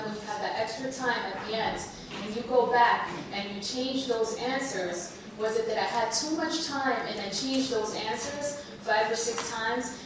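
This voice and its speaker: A woman whose first language is Spanish, a bit under the weather, telling her story to an audience in an earnest, halting way with many restarts. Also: fairly high pitch. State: under the weather, slight cold. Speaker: panel speaker. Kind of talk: personal talk to an audience. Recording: echoey hall, far from mic, noisy.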